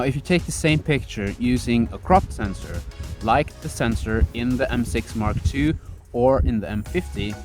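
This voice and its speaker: Norwegian-accented man explaining over music. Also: mid-range voice. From Norway. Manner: careful, step-by-step explaining with deliberate word choice. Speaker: camera gear reviewer. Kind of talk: explanatory tech vlog. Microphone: phone camera. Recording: vlog with music underneath.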